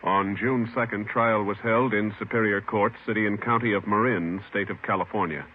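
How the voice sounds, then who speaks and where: A man's voice: on the low side, steady tone, normal speed. American male announcer over music, tinny radio. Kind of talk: formal 1950s radio announcing. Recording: tinny old radio recording.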